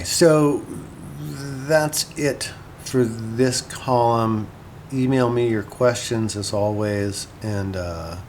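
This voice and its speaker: Slow, low-voiced scholarly lecturer. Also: male voice, a little mellow, slight background noise. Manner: halting, thoughtful, scholarly. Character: male academic lecturer on ancient literature, musing, earnest. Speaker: lecturer and podcast host. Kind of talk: informal recorded lecture.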